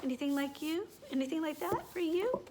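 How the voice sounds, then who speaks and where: A woman's voice: high-pitched, expressive, a little fast, a crowd in the background. A woman hosting a children's read-aloud and chatty demonstration, speaking directly to young listeners and asking them questions. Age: adult.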